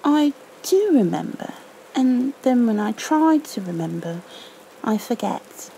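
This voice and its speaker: Lady doing curious little storybook boy, earnest, mellow soft voice, bit slow. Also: on the high side, very animated, creaky, a little hiss or hum, heavily acted, rough recording. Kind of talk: storybook read-aloud.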